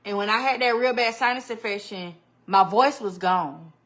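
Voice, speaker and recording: A woman's voice: mid-range voice, normal speed, a bit bright. A woman hosting a casual live stream, chatting animatedly with viewers, greeting them by name and laughing often. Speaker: livestream host. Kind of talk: casual livestream chat with viewers.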